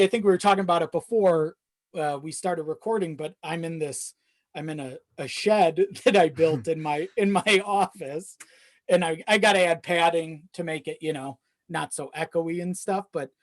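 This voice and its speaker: A young adult talking casually and candidly in a rambling way, with casual swearing. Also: mid-range voice. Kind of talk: casual chat. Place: home office in a small self-built shed. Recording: slightly echoey unpadded shed.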